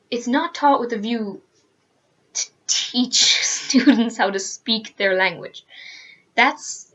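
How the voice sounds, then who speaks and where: A woman's voice: a little high, pushed and bright. A teenage woman with an Irish accent, ranting earnestly to camera in a casual vlog, full of fillers and restarts. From Ireland. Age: teens. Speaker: student vlogger.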